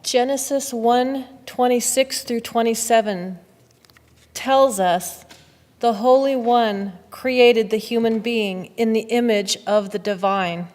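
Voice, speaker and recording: A woman's voice: a little high, slow pace, a little mellow, slight background noise. A middle-aged woman giving a scripted public reading in a readers theater. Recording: echoey hall reverb.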